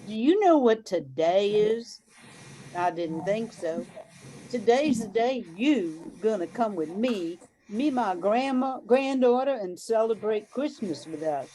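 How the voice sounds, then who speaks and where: A woman's voice: medium pitch, lively intonation, slow pace, mellow, low-quality recording. A woman playing a feisty, bossy, kind-hearted grandmother in a radio drama, with a folksy, scolding, coaxing way of talking. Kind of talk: amateur radio drama acting.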